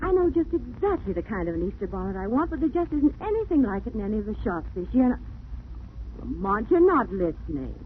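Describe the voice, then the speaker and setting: An actress playing a fussy, determined young society lady in a 1940s radio drama, with a crisp, chiding manner. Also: mid-range voice, big pitch swings, quick pace, natural voice. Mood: fussy, exasperated. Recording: tinny, noisy vintage radio recording.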